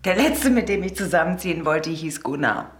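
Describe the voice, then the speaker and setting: In an echoey room, an actress plays a middle-aged lover with a low voice. She talks in short, intimate phrases, playful but firmly independent, as in a radio drama. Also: expressive, normal speed, close to an everyday voice. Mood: wry. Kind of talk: radio play dialogue.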